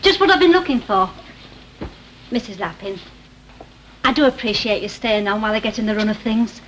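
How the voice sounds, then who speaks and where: An actress playing a chatty, eager new corner shop owner with a Northern English accent in a 1960s TV drama. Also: female voice, mid-range voice, fairly quick, fairly bright tone, close to an everyday voice. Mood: keen, polite. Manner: keen, chatty. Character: chatty, eager female newcomer taking over a corner shop. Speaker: actress in a TV soap. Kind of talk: 1960s British TV drama acting. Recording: faintly noisy old 1960 TV soundtrack.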